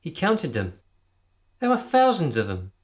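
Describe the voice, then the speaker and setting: A man's voice: on the high side, huge pitch swings, measured pace. A man acting in a radio drama as a group leader turned earnest, preachy conspiracy zealot. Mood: fervent.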